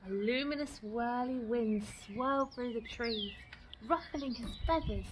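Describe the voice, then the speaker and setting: Higher-voiced woman reading a storybook, noisy recording. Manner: dramatic, deliberate storytelling delivery. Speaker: storytime reader. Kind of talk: expressive children's storybook reading in rhyme.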